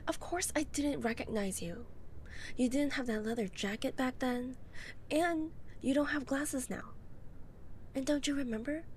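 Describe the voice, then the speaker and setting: A woman playing a college-age goth friend in an ASMR roleplay, speaking softly, often in a breathy near-whisper, with playful, dry teasing. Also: high voice, a little slow, a little hiss or hum. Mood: happy, teasing.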